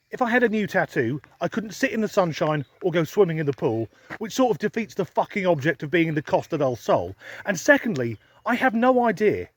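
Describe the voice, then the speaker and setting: An English man vlogging to a handheld camera, grumbling with dry, sweary humor. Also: high voice. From England. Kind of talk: sardonic vlog monologue. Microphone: handheld camera filming himself.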